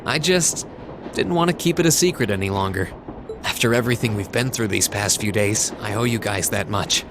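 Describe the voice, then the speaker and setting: An actor playing an earnest, gentle young student in a video game, speaking hesitantly and sincerely. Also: male voice, lowish voice, fairly quick, noisy background, natural voice. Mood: sincere, reassuring. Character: earnest, gentle young male student of adopted noble birth. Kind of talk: video game cutscene dialogue acting.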